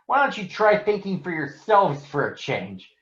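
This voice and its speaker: A man's voice: high voice, big pitch swings, normal speed, fairly bright tone, voice pushed up. A man voicing an earnest, plucky boy bear cub in a children's storybook, with an eager manner. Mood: defiant. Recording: dull, echoey room.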